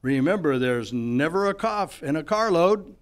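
Man as a high-pitched 1940s radio announcer. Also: expressive, unhurried, a little hiss or hum. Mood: selling. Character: polished, upbeat male radio pitchman and narrator. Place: live on a stage.

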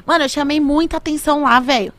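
A woman's voice: high-pitched, steady tone, quick pace, fairly bright tone, no echo. A young Brazilian woman, a rapper guest, telling stories in slangy casual chat on a podcast, close to a studio mic. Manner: slangy storyteller, playful. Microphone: studio mic on boom arm.

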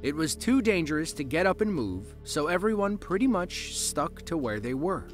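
A man's voice: fairly high pitch, normal speed. Guy narrating a doc video, bouncy delivery, dry little jokes, music under it. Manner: lively storytelling with dry asides. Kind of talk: scripted documentary narration. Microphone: voice-over, studio mic. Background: soft background music.

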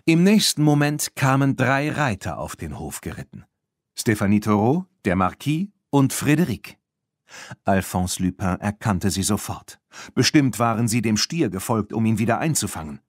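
A man reads a children's story as an audiobook narrator. His voice is on the low side and moves expressively up and down, recorded dry with no room sound. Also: sing-song, normal speed, no echo.

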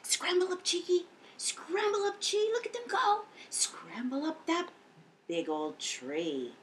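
High, animated woman reading to young children. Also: slow pace, a little hiss or hum. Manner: animated, exaggerated, with audible gasps and hushed whispers. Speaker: children's librarian, storytime host. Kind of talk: expressive read-aloud storytime for young children. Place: indoor lounge, seated on a couch.

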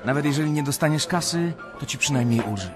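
An actor playing a seasoned, cynical older analyst in a radio drama, handing out blunt advice. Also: male voice, on the high side, lively intonation, fast, music in the background, lightly acted. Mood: cynical, urging. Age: middle-aged.

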